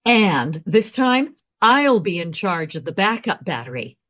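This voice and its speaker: A woman's voice: fairly low pitch, big pitch swings, unhurried, fairly bright tone. An actress playing a brash, irreverent woman time traveller with a blunt, sardonic manner in a radio play.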